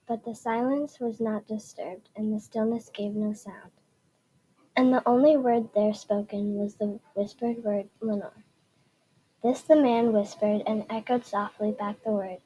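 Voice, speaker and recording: A woman's voice: mid-range voice, fairly even intonation, measured pace, mellow, a little hiss or hum, rough recording. A woman narrator reading a story aloud in a dramatic style.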